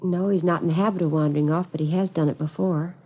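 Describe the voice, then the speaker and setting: A woman's voice: on the low side, fairly even intonation, fast talker, close to an everyday voice. An actress playing a worried, genteel American housewife in her forties in a 1950s radio drama, polite and restrained. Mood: calm, explaining. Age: 40s. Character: worried, genteel middle-aged housewife and mother. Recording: dull vintage radio drama recording.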